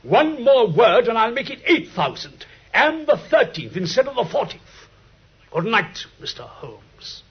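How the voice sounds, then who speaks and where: A man's voice: very high-pitched, big pitch swings, measured pace, breathy edge, full character voice. An actor playing a sneering, haughty male blackmailer with a British Received Pronunciation accent and a mocking manner, in 1950s radio drama style. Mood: contemptuous, threatening. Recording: muffled vintage 1950s radio recording.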